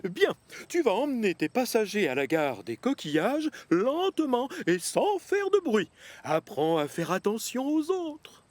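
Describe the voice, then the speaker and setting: A man's voice: high voice, huge pitch swings, a little slow, darkish tone, no room sound, full character voice. An actor playing a stout, stern male railway boss in a cartoon, who lectures firmly. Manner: firm and lecturing. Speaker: railway controller. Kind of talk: French cartoon dub.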